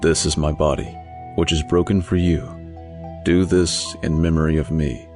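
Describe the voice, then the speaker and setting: A man plays a solemn holy male teacher in an audio scripture reading. The voice is extremely deep and deliberate. Faint music sits behind it. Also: level tone, a little mellow. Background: background music.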